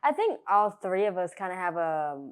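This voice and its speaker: A young woman with a deep voice, chatty and agreeable as she co-hosts a casual chat. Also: very animated, moderate pace, clear, resonant tone. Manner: chatty, agreeable, laughs often. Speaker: podcast co-host, former cheerleader.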